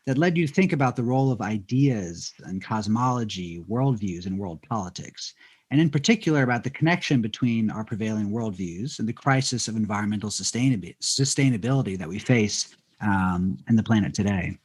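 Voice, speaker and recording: A man moderating an academic conversation, introducing guests and asking questions in a formal, measured way. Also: lowish voice, expressive, breathy edge. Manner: measured, formal, some fillers.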